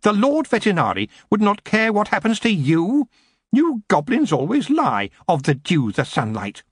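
Haughty, ageless elf queen, high and imperious, read by a man, husky edge. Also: huge pitch swings, normal speed, no echo, studio quality, heavily acted. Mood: scornful. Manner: imperious, scornful.

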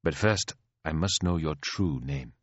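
Guy doing a calm bossy older queen in an audiobook, deep soft voice, scolding patiently, bit threatening. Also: unhurried, no room sound, lo-fi sound. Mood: measured, gracious. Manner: patient, chiding, like a teacher with a naughty pupil. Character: mild, imperious elder queen, calmly menacing.

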